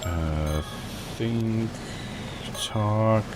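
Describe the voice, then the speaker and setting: A man's voice: on the low side, extremely slow, background noise. A man live streaming a visual novel, rambling and thinking aloud in casual commentary. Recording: game music behind voice. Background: video game music.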